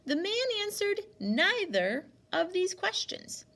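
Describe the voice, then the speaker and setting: A woman reading a storybook aloud as a teacher, in an expressive narrating style. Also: very high-pitched, slow pace.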